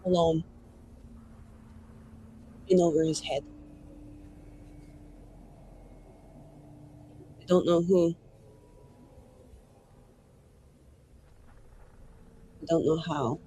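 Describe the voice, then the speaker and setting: Man acting as a grieving noble, high voice, deliberate broken phrases, quietly threatening, faint background noise. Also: fairly even intonation, natural voice. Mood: grim, grieving. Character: grieving, menacing noble speaking of a lost beloved friend.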